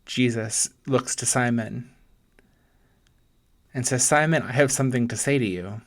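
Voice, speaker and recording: Slow-paced man leading guided meditation. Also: medium pitch. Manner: gentle, deliberate, pausing between short phrases.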